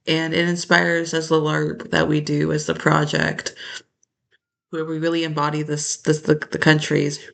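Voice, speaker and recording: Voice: medium pitch, completely flat delivery, measured pace, creaky, fairly bright tone. A vlogger talking straight to the camera in a loose, rambling monologue that jumps from topic to topic and trails off.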